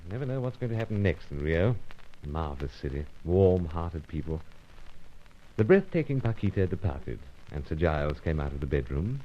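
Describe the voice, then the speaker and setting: An actor playing a dry, understated secret agent in an old-time radio spy serial, with clipped, hesitant, wry delivery. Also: male voice, extremely low pitch, big pitch swings, moderate pace, slight background noise. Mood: dry narration. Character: dry, understated British secret agent narrating his own adventure. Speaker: lead actor and first-person narrator. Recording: dull, lo-fi radio serial recording.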